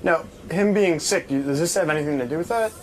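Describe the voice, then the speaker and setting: A man's voice: a little high, fast talker. A man asking casual interview questions, hesitantly rephrasing as he goes. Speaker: interviewer, reporter. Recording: faint background room noise.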